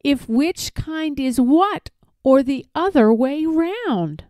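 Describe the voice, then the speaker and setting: A woman's voice: high voice, expressive, slow, warm tone, no room sound, clean studio sound, voice pushed up. A woman voicing a snooty, haughty bird-like storybook creature, indignant and emphatic.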